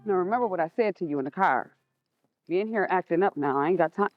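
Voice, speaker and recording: A woman's voice: on the low side, fast talker, muffled recording, soft background music, lightly acted. A woman with an American accent playing a stern, exasperated mother of teenagers in a stage play, emphatic in her delivery. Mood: warning, irritated. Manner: scolding, emphatic.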